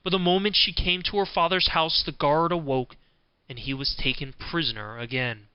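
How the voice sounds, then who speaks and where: A man narrating a scripted fairy tale as an audiobook, in a measured storybook manner. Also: a little high, fairly even intonation, smooth, no echo, muffled recording.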